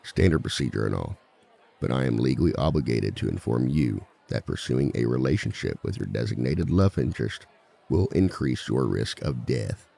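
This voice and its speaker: A man playing a suave villain who lectures like a seminar speaker, measured and wry, in a scripted audio roleplay. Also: lively intonation, dark tone, full character voice. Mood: wry, cautioning. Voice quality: very deep, gravelly. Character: suave male villain giving a dating seminar to fellow villains. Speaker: seminar speaker and relationship expert.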